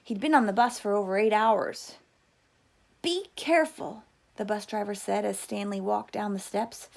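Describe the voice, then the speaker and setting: A woman reading a children's novel aloud as a teacher, with expressive storytelling delivery. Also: mid-range voice, moderate pace, gravelly.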